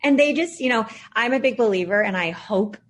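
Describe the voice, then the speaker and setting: A woman's voice: fairly high pitch, expressive, a little fast, a little hiss or hum. A woman in her thirties, a podcast guest and founder, answering interview questions in an earnest, reflective way with frequent fillers. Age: 30s.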